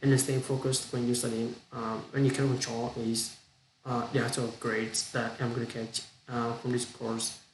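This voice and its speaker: A young man with a Vietnamese accent, a non-native English speaker, gives an unscripted practice monologue with halting speech, frequent restarts and repeated words. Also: mid-range voice, little pitch movement, echoey room, a little hiss or hum, poor audio. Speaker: student practicing spoken English.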